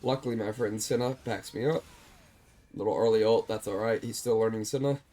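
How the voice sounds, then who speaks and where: A man calmly walking through a replay of his own game, explaining his choices, with a slightly stuffed-up voice. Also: lowish voice, brisk. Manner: calm, explanatory, self-deprecating. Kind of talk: gameplay commentary over a replay.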